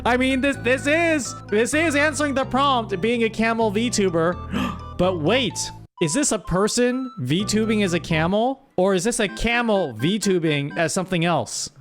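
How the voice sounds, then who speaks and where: Guy streamer w a high voice, chatting and mock exasperated, music in the back. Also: measured pace, a bit bright. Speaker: streamer hosting a chat story-writing game. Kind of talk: casual livestream chat. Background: background music.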